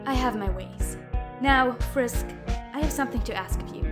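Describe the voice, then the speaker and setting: A woman voices a young female villain for an audio drama. She taunts with smug, scornful sarcasm in a fairly high voice. Prominent plucked guitar music runs underneath. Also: normal speed, natural voice. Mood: gloating. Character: smug, scornful villainous girl with mind powers.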